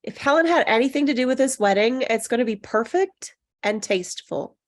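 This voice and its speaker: A woman's voice: on the high side, animated, a little fast, lightly acted. A woman reading the part of a loyal, soothing best friend in a screenplay table read. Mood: reassuring. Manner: soothing, encouraging. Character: Annie, loyal reassuring best friend of a nervous bride.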